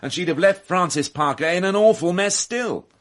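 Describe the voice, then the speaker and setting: A man's voice: high-pitched, moderate pace, a bit bright. An actor playing a blunt, irritable male police inspector in a radio mystery drama, questioning people in a brusque, probing way. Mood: frustrated.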